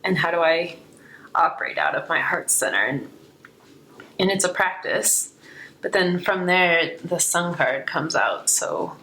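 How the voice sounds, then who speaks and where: Female tarot reader, low register, a touch hoarse, reflective and unscripted delivery, some noise behind the voice. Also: expressive, measured pace, pushed and bright, rough recording. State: slightly hoarse. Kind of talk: unscripted spiritual card reading, reflective.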